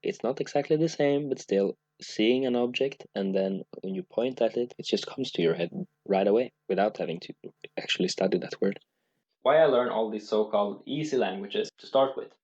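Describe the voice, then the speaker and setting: A man's voice: fairly low pitch, normal speed, a little mellow, roomy sound, lo-fi sound. A man vlogging about language learning, speaking unscripted to the camera in an earnest way and explaining step by step, with many restarts.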